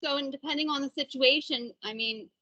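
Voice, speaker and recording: A woman in a business association, explaining ideas informally and haltingly in a meeting discussion. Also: high voice, pushed and bright, dull audio, slight background noise.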